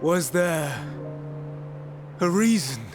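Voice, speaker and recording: An actor playing an anguished, earnest male scholar in a game cutscene, speaking slowly in formal, archaic phrasing. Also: on the high side, lively intonation. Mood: pained pleading. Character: anguished, soft-spoken idealistic male scholar, earnest and grieving. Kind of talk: dramatic video game cutscene acting. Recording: prominent music under the voice.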